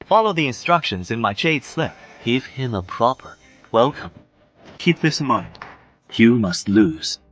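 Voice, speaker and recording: A man's voice: medium pitch, sing-song, measured pace. An actor dubbing a smug, calculating male mastermind. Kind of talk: anime motion-comic dub. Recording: voice under loud music.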